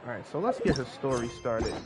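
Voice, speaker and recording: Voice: medium pitch, big pitch swings, fairly quick, heavy background noise. A gamer giving casual playthrough commentary, informal and slangy, muttering reactions as they go. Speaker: gamer, streamer. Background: loud game music.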